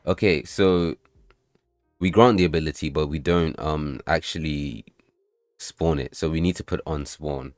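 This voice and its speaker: A man livestreaming while he builds and tests his game, chatting loosely with viewers, swearing and bursting into excited outbursts. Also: very deep voice, a little slow, dry recording, clean studio sound, faint music behind. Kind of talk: casual livestream chat while coding and playtesting.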